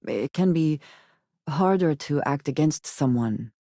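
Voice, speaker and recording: A woman playing a guarded, earnest female spacefarer in a video game, speaking in formal, measured phrases. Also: low-pitched, animated, warm tone, dry recording, studio quality, heavily acted. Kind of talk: video game character dialogue.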